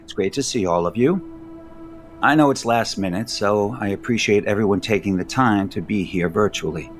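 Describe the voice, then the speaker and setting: An actor playing a composed, authoritative male executive in an audio soap opera, measured and firm. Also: on the low side, soft background music, lightly acted. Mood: formal.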